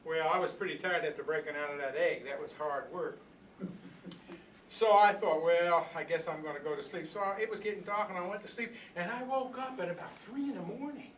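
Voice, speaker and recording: A man's voice: fairly high pitch, a little fast, fairly bright tone, lightly acted. An older man playing a proud, philosophical old rooster in a folksy, rambling comic monologue. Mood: earnest, wondering. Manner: folksy, rambling, conversational storytelling with repeated phrases. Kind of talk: comic monologue performed live for an audience. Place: indoor workshop room before a group. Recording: echoey room, distant mic, background noise.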